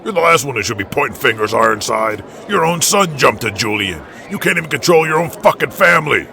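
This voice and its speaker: A man's voice: medium pitch, sing-song, brisk, gravelly, crowd noise, pitched above the performer's own voice. A man voicing an angry middle-aged wrestling promoter in an audio drama, defensive, heated and profane. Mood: furious.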